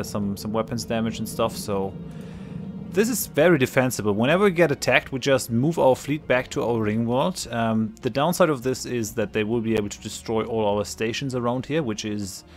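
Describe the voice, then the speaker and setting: A man with a cold narrating his strategy game as a let's play host, thinking aloud with many ums and restarts and laughing readily. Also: medium pitch. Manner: laughs readily between remarks. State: has a cold. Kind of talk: casual gameplay commentary.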